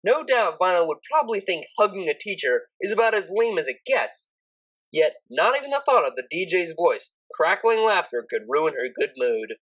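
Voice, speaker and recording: Woman narrating a story with lively intonation. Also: lowish voice, normal speed, poor audio. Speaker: audiobook narrator. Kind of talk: expressive scripted story narration.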